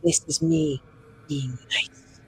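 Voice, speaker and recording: A man playing a grieving, menacing noble who speaks slowly and deliberately in broken phrases. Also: fairly high pitch, steady tone, a little hiss or hum. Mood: cold threat.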